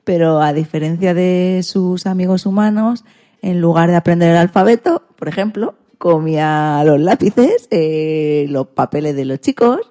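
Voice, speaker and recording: Woman, radio host, conversational, lowish pitch, soft dark tone. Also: a little slow.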